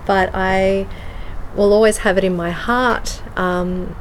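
A woman with an Australian accent in a casual interview, speaking with many pauses and ums, moving between English and Slovenian. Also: medium pitch, fairly even intonation, a little hiss or hum, rough recording. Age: adult with children. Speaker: guest.

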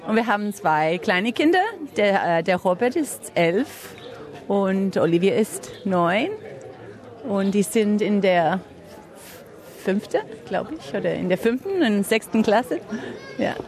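A woman answers interview questions in learner German. She keeps stopping to hunt for words and fills the pauses with small hesitations. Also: mid-range voice, lively intonation. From Australia. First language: English. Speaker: interviewed parent.

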